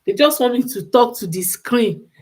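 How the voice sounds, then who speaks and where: A woman's voice: a little high, expressive, moderate pace, a little hiss or hum. A woman with a Nigerian accent talking off the cuff on a livestream, emphatic and repeating phrases for stress. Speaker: livestream host giving relationship advice. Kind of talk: unscripted livestream monologue.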